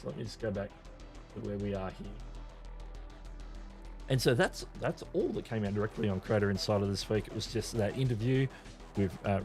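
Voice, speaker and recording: A man's voice: fairly low pitch, a little mellow. A man hosting a live creator news stream, talking loosely with lots of restarts and fillers, reading viewer chat aloud. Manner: rambling, many restarts and fillers. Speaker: host.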